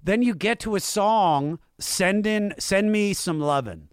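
A man's voice: sits high, animated, slow, clean tone, dry recording, clean studio sound. A man hosting a casual music podcast, talking informally and with enthusiasm. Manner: informal, enthusiastic, uses slang and swearing. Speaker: podcast host.

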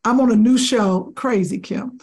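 Raspy-voiced lady comic on a podcast, chatty and all over the place, husky and gravelly. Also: normal speed. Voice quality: deep raspy voice. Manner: chatty, animated, rambling with fillers. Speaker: comedian guest. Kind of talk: casual podcast chat.

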